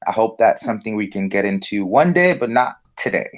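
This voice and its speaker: Low-voiced man co-hosting, halting. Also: animated. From United States. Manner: hesitant, many fillers and restarts. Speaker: podcast co-host. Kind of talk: casual discussion.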